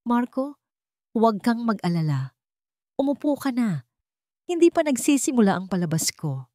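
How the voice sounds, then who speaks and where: A woman voicing a wronged wife in an audiobook drama, cold, controlled and quietly vengeful. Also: big pitch swings, normal speed, no room sound, clean studio sound. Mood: cold defiance. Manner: low-voiced, controlled, cold.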